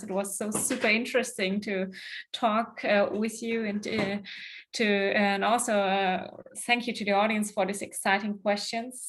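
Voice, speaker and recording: Woman co-host, mid pitch, friendly with some fillers. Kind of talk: closing remarks.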